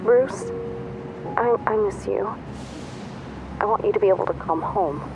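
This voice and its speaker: A woman playing a caring but sardonic young woman in an audio drama, with an expressive delivery. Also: mid-range voice, unhurried, over music, natural voice, poor audio. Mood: worried, loving.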